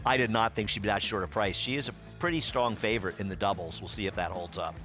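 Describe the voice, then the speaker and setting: A man's voice: on the low side, fast, music in the background. Chatty racing analyst man. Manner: chatty, dry humor. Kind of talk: race analysis and studio banter.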